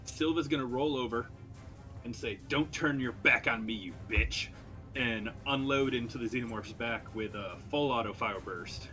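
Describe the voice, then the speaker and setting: A man's voice: mid-range voice, moderate pace, bright, forceful tone, faint music behind. A man playing a tabletop roleplaying game, talking casually and describing his moves.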